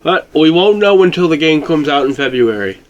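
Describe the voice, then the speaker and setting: A man's voice: on the high side, lively intonation, a little fast, lo-fi sound. A man co-hosting a casual chat, speaking in an informal, blunt, conversational way. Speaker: co-host of a video game theory discussion.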